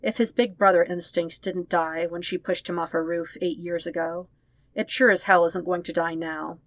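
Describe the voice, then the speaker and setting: Low-voiced woman narrating dramatic fiction. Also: fairly even intonation, normal speed, dry recording. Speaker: audiobook narrator.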